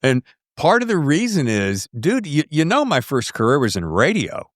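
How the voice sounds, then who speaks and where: A man's voice: a little high, wildly swooping pitch, moderate pace, no room sound, studio quality. A middle-aged man hosting a podcast, chatting in an informal, jokey, salty-tongued way that wanders into tangents. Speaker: podcast host. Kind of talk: casual interview chat.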